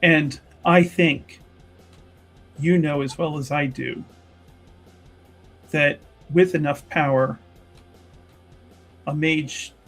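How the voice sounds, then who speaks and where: A man playing an earnest, solemn member of a fantasy church in a tabletop game, speaking in measured, halting, conciliatory phrases. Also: on the high side, a bit bright, heavily acted. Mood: solemn, reasoning. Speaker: tabletop roleplay player. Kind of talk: in-character improvised dramatic dialogue.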